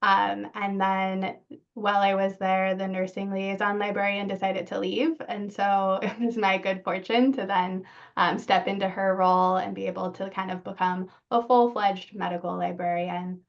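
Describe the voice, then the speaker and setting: A woman's voice: medium pitch, flat delivery, moderate pace, creaky. A woman librarian giving an informal account on a panel, on slightly muffled audio.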